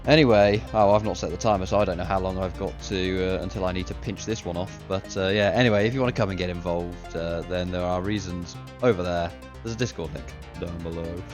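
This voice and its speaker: A man with a self-mocking, casual manner commentates a league sim race, a bit ill with a deeper voice than usual. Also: steady tone, fairly quick, few pauses, music in the background.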